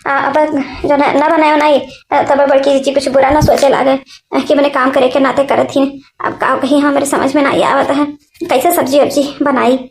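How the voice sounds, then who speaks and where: A woman's voice: high-pitched, flat delivery, moderate pace. A woman voicing a fussy, affectionate rural sister-in-law in a family cartoon, chatting colloquially and repetitively with an eastern Uttar Pradesh accent. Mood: unwell, worried. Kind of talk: cartoon family comedy dialogue in dialect. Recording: roomy echo, noticeable background noise.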